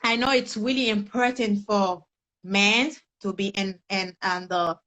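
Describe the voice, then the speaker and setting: A woman's voice: medium pitch, fairly even intonation, unhurried, fairly bright tone. A young adult woman from Haiti with a Haitian accent, speaking earnestly as an interview guest in a conversational chat. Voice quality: non-native English grammar, earnest. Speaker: guest, personal development coach.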